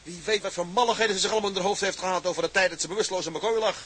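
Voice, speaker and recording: A man's voice: high-pitched, fairly even intonation, racing, a bit bright, a little hiss or hum. An actor playing an exasperated, defensive seaman in a Dutch period drama, protesting with indignation. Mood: indignant.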